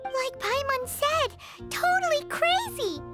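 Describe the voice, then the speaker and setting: Tiny cheeky fairy companion, extremely high squeaky child voice, drawn-out delivery, game music behind. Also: female voice, expressive, full character voice. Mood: alarmed, teasing. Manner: refers to self in third person, exclamatory. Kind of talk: animated video game voice acting.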